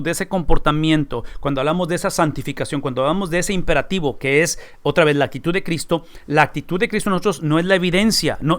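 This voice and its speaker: A man's voice: fairly high pitch, a bit bright. A middle-aged man with a Mexican accent giving an earnest Bible study lecture, stressing points by repeating them and often correcting himself mid-phrase. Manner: earnest, emphatic, repeats key phrases, frequent self-corrections. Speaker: Bible teacher.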